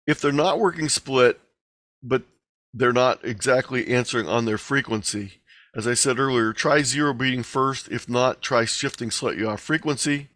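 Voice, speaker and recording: A man's voice: mid-range voice, moderate pace, a bit bright, dry recording. Dry-sounding man teaching step by step. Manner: instructional, plain-spoken, step by step. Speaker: instructor, presenter. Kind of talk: explanatory slide lecture, lightly extemporized. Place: online video-call webinar. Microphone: video call microphone.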